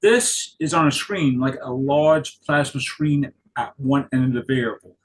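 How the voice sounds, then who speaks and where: A man narrating stage directions at a script table read, halting and correcting himself as he goes. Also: mid-range voice, poor audio. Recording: clear background noise.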